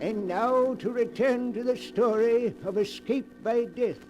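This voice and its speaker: An actor playing an ominous storyteller, narrating 1940s radio terror tales in a slow, dramatic, portentous way. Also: male voice, extremely high pitch, highs cut off, background noise.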